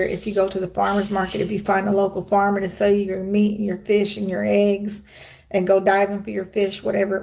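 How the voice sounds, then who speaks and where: A woman's voice: medium pitch, gravelly, mellow. A middle-aged woman from the United States talking casually to her viewers, chatty and emphatic, with rambling asides. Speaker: vlogger. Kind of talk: casual vlog monologue.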